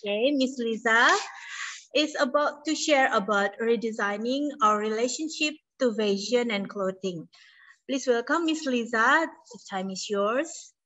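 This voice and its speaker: A woman's voice: fairly high pitch, a little slow, bright, forceful tone, rough recording. A woman, moderating an online lecture and reading a guest's biography in short phrases with slight stumbles. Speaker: event moderator. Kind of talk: introducing a guest speaker.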